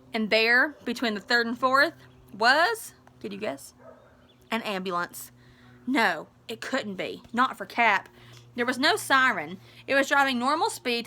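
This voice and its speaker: A woman teacher reading a story aloud expressively, with an animated, informal manner. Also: a little high. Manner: animated, informal asides to listeners.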